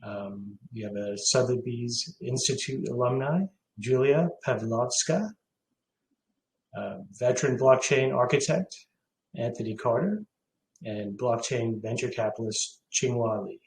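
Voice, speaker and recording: A man's voice: medium pitch, echoey room, slight background noise, rough recording. Man in his forties from Hong Kong. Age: 40s. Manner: halting, many filler sounds. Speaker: company CEO. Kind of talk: AMA video call answers. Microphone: video call.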